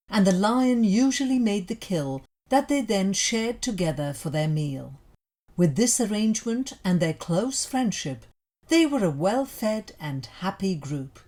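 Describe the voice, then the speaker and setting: A woman narrates a children's folk tale with very animated intonation. Her pitch is mid-range and moves freely up and down, giving the deliberate, scripted storytelling an expressive lift.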